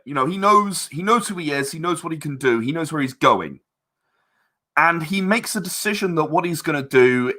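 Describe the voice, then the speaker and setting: A man being interviewed as a guest, explaining his creative work in a casual, halting way and repeating words as he thinks. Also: fairly high pitch, sharp tone. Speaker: interview guest, audio drama director and voice actor.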